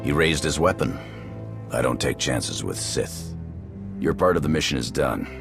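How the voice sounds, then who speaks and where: A man's voice: a bass voice, expressive, normal speed, creaky, over music, full character voice. An actor voicing a cold, blunt male military commander in a game cutscene. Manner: curt and cold. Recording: game audio with score.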